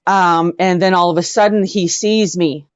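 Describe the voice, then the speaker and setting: A woman's voice: lowish voice, steady tone, moderate pace, clean tone. A woman guest telling casual anecdotes in an effusive, emphatic way, repeating herself for stress. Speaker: guest, rock singer. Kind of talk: casual anecdote storytelling in an interview. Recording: dull lo-fi audio, roomy echo.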